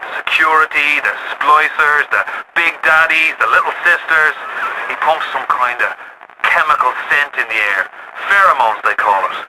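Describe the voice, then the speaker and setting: An actor playing a gritty working-class Irish rebel who guides a newcomer over the radio in a video game, urgent, folksy and coaxing. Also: male voice, on the high side, normal speed. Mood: guiding, steady. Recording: noisy lo-fi radio game clips.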